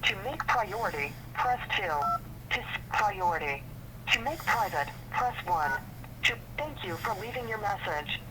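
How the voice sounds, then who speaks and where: A woman's voice: medium pitch, lively intonation, a little slow. A woman's voice giving automated voicemail prompts over the telephone. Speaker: voicemail system announcer. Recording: noisy telephone line.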